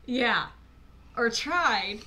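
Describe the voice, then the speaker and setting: Bright, animated woman in her thirties. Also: fairly high pitch, extremely slow. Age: 30s. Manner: animated, laughing storyteller. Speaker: livestream co-host. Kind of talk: casual live-stream chat.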